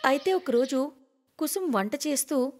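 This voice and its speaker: A woman with a fairly high voice narrates a scripted moral tale. She, and her voice carries a long reverb. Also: animated, moderate pace, darkish tone. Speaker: story narrator. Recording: long reverberant hall echo.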